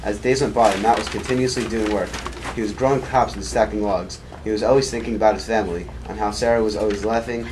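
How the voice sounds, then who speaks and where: A man's voice: lowish voice, steady tone, fast. A man narrating an amateur puppet show, reading hesitantly with frequent restarts. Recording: noisy amateur recording.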